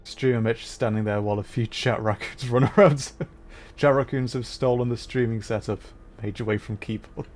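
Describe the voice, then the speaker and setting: A man's voice: medium pitch, steady tone, normal speed, darkish tone. A man giving casual live game commentary and chatting to viewers as a streamer. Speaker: livestreamer playing a video game.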